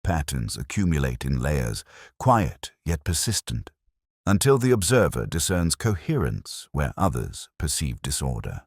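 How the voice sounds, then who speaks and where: A contemplative detective inspector played by a man recounts his case in a very low voice, recorded dry and close. His delivery is formal and measured, with lively rises and falls, in the manner of a literary mystery story. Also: vocal fry, husky, no echo, heavily acted. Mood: reflective, methodical. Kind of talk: scripted first-person detective story narration.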